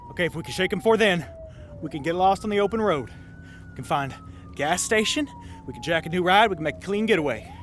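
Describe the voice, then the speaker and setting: A man's voice: high voice, slight background noise. A man voicing a volatile, scheming bank robber in an audio roleplay, with a folksy Southern US accent and coaxing pet names for his partner. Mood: scheming, urgent.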